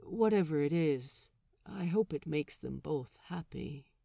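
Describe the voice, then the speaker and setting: Casting: melancholy pirate man played by a woman, gentle and understated, lowered pitch, audio storybook. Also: expressive, a little slow, vocal fry, dry recording, studio quality, exaggerated voice. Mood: subdued, sad. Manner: quiet, hesitant, understated. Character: subdued, sad, gentle male pirate crewmate.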